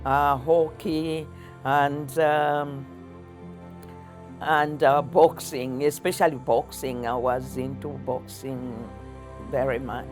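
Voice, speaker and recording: An older woman with a Zambian accent, recalling her life in conversation in a halting, chuckly way. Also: extremely low pitch, little pitch movement, a little mellow, soft background music. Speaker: interviewee, athlete's mother. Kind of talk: personal recollection in conversation.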